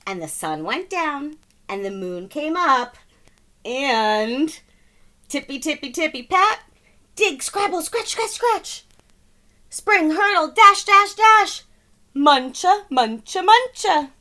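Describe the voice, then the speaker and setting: Animated, bright-voiced woman reading a storybook. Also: high-pitched, slow. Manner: dramatic, emphatic, playful with sound words. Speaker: storybook reader. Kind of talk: animated children's read-aloud narration.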